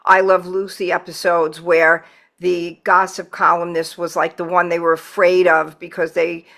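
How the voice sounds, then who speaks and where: A woman's voice: lowish voice, level tone, normal speed, fairly bright tone. A woman hosting a podcast over a video call, chatting casually and gushing with enthusiasm. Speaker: podcast host. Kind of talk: casual interview chat.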